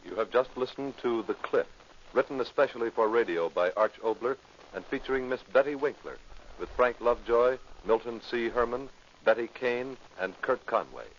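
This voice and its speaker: A man working as a radio announcer in a formal 1940s style, with measured, theatrical phrasing. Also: medium pitch. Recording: muffled, faintly noisy old radio recording.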